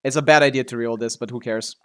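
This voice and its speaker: A man's voice: mid-range voice, steady tone, very fast, a little hiss or hum. A man casually commentating on a game livestream, swearing freely as he reacts aloud and talks to himself and his chat. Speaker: game streamer.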